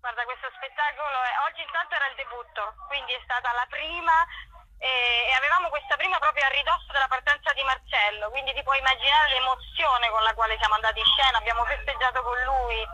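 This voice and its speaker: A woman's voice: high-pitched, fairly even intonation, fast, sharp tone, no echo, poor audio. A woman being interviewed as a playwright, explaining spontaneously, searching for words and repeating them for emphasis.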